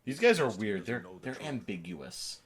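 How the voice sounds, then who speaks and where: A man's voice: on the low side, big pitch swings, a little slow. A man co-hosting a gaming show, chatting casually and a bit sardonically as he nitpicks the game. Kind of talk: casual chat commentary over video game.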